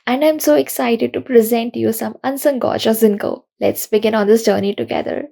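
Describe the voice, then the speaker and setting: Creaky-voiced young woman giving a tech talk. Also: on the high side, moderate pace. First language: not Russian. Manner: earnest, steady explanatory delivery. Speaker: conference speaker. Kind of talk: recorded technical talk.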